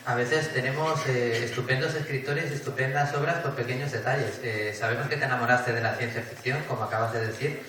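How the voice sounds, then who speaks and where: Fast-talking Spanish male moderator, echoey hall. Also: mid-range voice, a bit bright. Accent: Spanish, from Spain. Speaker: event moderator. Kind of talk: conversational interviewing before an audience. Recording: very echoey hall, distant, faint noise.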